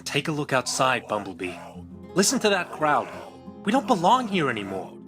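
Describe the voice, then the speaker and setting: A man's voice: on the high side, wildly swooping pitch, moderate pace, bright, forceful tone, faint music behind, heavily acted. A man playing an impatient, defiant, headstrong robot in an audio drama. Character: impatient, headstrong robot rebel eager to leave.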